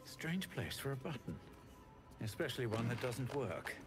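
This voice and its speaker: An actor voicing an adventurer hero in a fantasy video game, speaking in a dry, musing way. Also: male voice, mid-range voice, sing-song, a little slow, music in the background.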